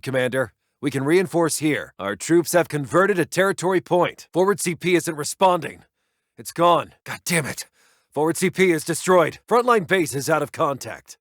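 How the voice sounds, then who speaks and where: A man playing a brisk, dutiful American army intelligence officer in a Second World War video game, giving clipped, formal reports to his commander. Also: a little high, a bit bright, no room sound, studio quality. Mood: urgent. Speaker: military announcer reporting to the commander. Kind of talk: scripted video game unit voice lines.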